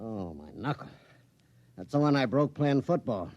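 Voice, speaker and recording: An actor playing a blunt, wisecracking rocket co-pilot with a plain, sardonic delivery in a 1950s radio drama. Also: male voice, mid-range voice, dull audio. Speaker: co-pilot and engineer. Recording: hissy 1950s radio broadcast.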